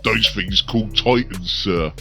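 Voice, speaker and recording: A man voicing a sulky, deferential ork chieftain with respectful but rough slang, in an audiobook story. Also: fairly low pitch, unhurried, bright, forceful tone, soft background music, heavily acted.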